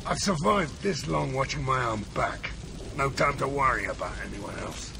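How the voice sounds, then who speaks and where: A man playing a bitter, gruff older mercenary with a gravelly voice in a video game cutscene. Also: mid-range voice, big pitch swings, moderate pace, noisy background. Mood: surly, defiant. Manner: gruff, profane. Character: bitter, injured, vengeful older male mercenary.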